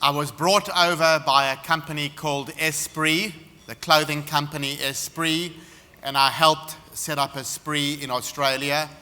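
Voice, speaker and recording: A man's voice: a little high, fairly even intonation, measured pace, bright, forceful tone. A man from South Africa with a South African accent, giving a confident, anecdotal seminar talk as guest speaker in an expo hall. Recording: reverberant hall.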